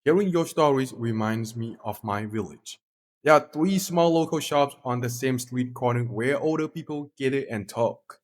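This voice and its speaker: A man's voice: medium pitch, moderate pace. A twenty-two-year-old man with a Thai accent, presenting a scripted class talk in a friendly way. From Thailand. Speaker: student presenter.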